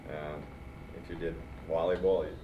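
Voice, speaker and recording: A man from Finland whose first language is Swedish, speaking with an accent as a guest in a casual podcast interview, with measured, thoughtful explanations. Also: low-pitched, level tone, phone-quality sound. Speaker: guest cycling coach. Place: training studio, seated in recovery chairs. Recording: roomy, distant mic, noisy.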